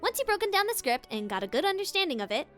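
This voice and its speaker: A woman's voice: very high voice, big pitch swings, rapid-fire, a bit bright, soft background music. A woman hosting a scripted, playful tutorial as a voice actor, with theatrical emphasis. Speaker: voice actor and video host.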